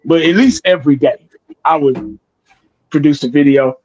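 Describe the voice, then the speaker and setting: A man's voice: on the high side, normal speed, darkish tone, highs cut off. Candid, profane man in his forties. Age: 40s. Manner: candid, profane, rambling with restarts. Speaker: sports video creator. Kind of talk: casual podcast chat.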